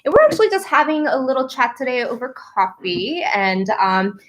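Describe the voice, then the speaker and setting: A woman's voice: high-pitched, big pitch swings, normal speed, slight background noise. A woman from Korea hosting a casual livestream chat, upbeat and gushing, talking straight to viewers. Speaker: host, performance coach. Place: café in Seoul.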